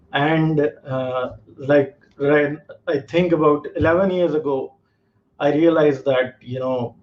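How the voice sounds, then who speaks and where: A man from Pakistan, calling in and explaining his views earnestly, with many fillers. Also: fairly high pitch. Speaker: caller. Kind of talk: call-in discussion. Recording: muffled lo-fi call audio.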